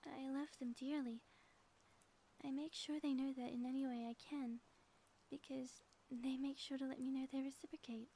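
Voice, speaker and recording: A woman's voice: sits high, level tone, normal speed, warm tone, a little hiss or hum. A woman playing a theatrical, lovestruck ex-supervillain in an audio roleplay, speaking in formal, grandiose phrases. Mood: tender, earnest.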